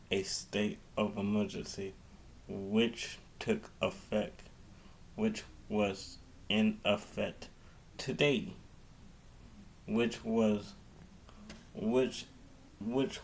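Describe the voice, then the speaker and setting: A man giving an improvised public address as a mayor, halting and often repeating and restarting his phrases. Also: lowish voice, creaky, slight background noise.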